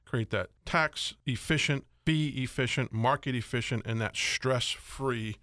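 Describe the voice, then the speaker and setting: An upbeat, middle-aged man talks money on the radio in a voice of middling pitch. He is chatty and loose and slips in jokes between points. The studio sound is close and dry. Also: a little slow, pushed and bright, no room sound. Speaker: radio show host, financial advisor. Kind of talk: casual radio talk.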